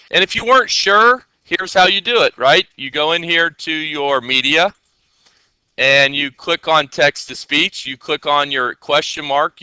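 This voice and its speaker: A man's voice: fairly high pitch, bright, forceful tone, clear, resonant tone, dry recording, low-quality recording. A man teaching coding in a casual screen-share tutorial. He talks in a loose, chatty way with many fillers, and keeps checking in with his listeners.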